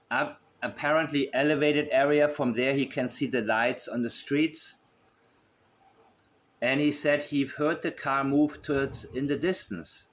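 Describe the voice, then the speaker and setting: A man giving unscripted online commentary as he works through a map, thinking aloud and repeating himself as he goes. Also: medium pitch. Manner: musing, thinking aloud, repetitive. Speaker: online host.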